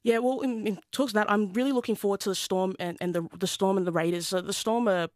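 A young adult from Ghana, a guest on a radio show talking sport, eager and halting with many restarts. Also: medium pitch, steady tone, no echo, clean studio sound. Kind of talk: casual radio chat.

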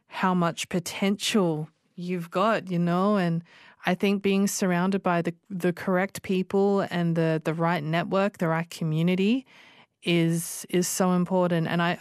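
A woman's voice: fairly low pitch, a little mellow, dry recording. A woman hosting a casual radio interview in a studio, encouraging and chatty with many fillers. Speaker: radio show host.

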